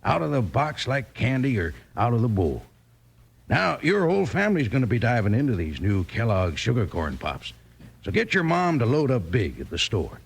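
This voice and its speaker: A man pitches like an old cowboy radio announcer. His gravelly, husky voice rises and dips widely, folksy and full of exclamation. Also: sing-song, normal speed.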